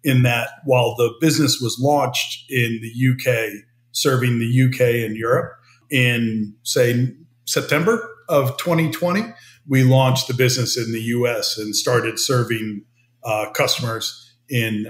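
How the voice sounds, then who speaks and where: A middle-aged American man, a company CEO, explaining his business in a measured way as a podcast guest. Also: medium pitch. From United States. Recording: roomy remote audio.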